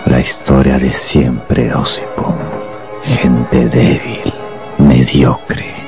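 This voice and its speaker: An actor playing a contemptuous, power-hungry anarchist professor in a radio drama, with a grandiose, deliberate delivery. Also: male voice, extremely low pitch, steady tone, vocal fry, exaggerated voice. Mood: grandiose contempt. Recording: music behind the voice.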